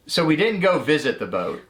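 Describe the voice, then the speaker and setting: A middle-aged man co-hosting a podcast, telling a story in casual chat and slipping into a livelier voice when he retells what people said. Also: fairly high pitch. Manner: relaxed, retells conversations in a livelier voice. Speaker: podcast co-host.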